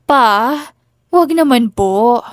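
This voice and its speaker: Babaeng gumaganap na nagdadalamhating anak na babae, mataas ang boses. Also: female voice, fairly high pitch, lively intonation, very slow, no room sound, clean studio sound. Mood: pained, pleading. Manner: pleading, trembling. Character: grieving, devoted adult daughter, emotional young mother.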